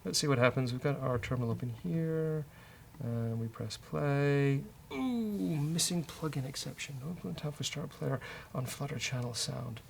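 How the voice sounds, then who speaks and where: A man with a creaky, gravelly voice talks through his code as he goes. He is casual and a bit hesitant, often muttering to himself. There is faint noise behind him. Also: medium pitch, expressive, moderate pace. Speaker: developer and show host. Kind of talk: casual live coding commentary, thinking aloud.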